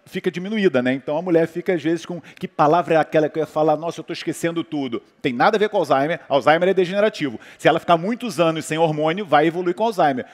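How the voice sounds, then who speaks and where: A man from Brazil with a Brazilian Portuguese accent, a physician talking casually on a panel, rambling with lots of fillers and restarts. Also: a little high. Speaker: physician guest speaker. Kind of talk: conversational talk on a panel. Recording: hall reverb.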